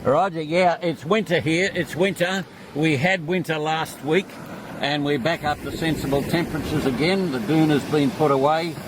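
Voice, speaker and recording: A man's voice: a little high, moderate pace. Noisy radio, laid-back Australian man. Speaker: amateur radio operator. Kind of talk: relaxed casual radio exchange. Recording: noisy shortwave radio transmission.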